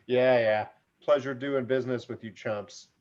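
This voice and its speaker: A man's voice: medium pitch, steady tone, unhurried, a little mellow, close to an everyday voice. A man playing a slippery, smug tabloid reporter in a screenplay table read. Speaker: amateur actor in an online table read. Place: remote video call. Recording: dull video call audio.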